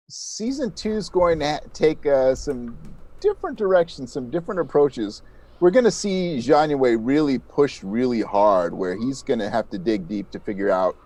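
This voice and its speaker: A man's voice: mid-range voice, darkish tone. A man chatting casually as an interview guest, speaking with enthusiasm and expression. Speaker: interview guest, podcast creator.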